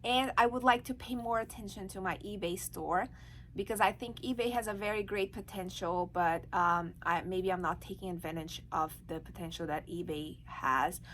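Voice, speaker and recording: A woman's voice: medium pitch, normal speed, fairly bright tone, slight background noise, poor audio. A woman from Brazil with a Brazilian Portuguese accent, chatting casually to camera as an online reseller, with many fillers and restarts. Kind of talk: casual vlog talk to camera.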